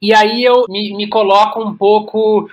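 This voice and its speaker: A man's voice: sits high, little pitch movement, a little slow, highs cut off. A Brazilian man speaking Portuguese as an interview guest, reflective and hesitant, often repeating words as he searches for the next one. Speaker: guest playwright and director. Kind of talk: reflective podcast interview talk.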